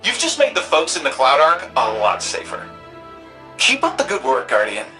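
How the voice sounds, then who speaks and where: An actor playing an excitable, friendly ally in a sci-fi video game, gushing and playful. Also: medium pitch, normal speed, faint music behind. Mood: playful, pleased. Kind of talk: video game mission dialogue.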